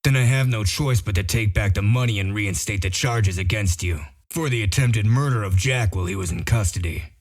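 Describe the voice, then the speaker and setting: Man acting a gruff male sleuth, deep register, dry wit, few words, comic dub drama. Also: fairly quick, hardly any pauses. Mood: firm. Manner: laconic, sardonic. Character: gruff, wry male detective, world-weary.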